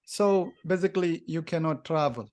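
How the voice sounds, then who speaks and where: A man interviewing a guest, asking slow, deliberate questions with many fillers. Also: a little high, darkish tone.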